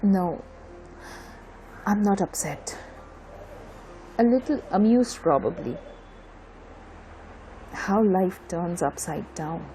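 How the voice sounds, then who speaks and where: A woman's voice: lowish voice, takes it slowly, long pauses, dark tone, a little hiss or hum, rough recording. A woman with an Indian Bengali accent playing a wistful, subdued young mother in an audio drama, giving short, hesitant replies. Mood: wry, wistful. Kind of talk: bilingual audio drama dialogue.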